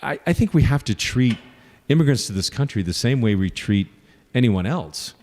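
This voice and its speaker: A man's voice: mid-range voice, expressive, normal speed, a little mellow, big-room sound. A man running for office, earnestly answering audience questions at a forum and listing his points with frequent fillers. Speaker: congressional candidate.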